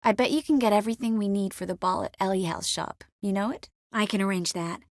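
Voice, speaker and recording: A woman voicing a witty, caring, self-assured young sorceress in a video game, often dropping to soft, intimate murmurs. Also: mid-range voice, fast talker, no room sound, clean studio sound, natural voice. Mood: conversational, composed. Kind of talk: scripted game character dialogue. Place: close studio recording.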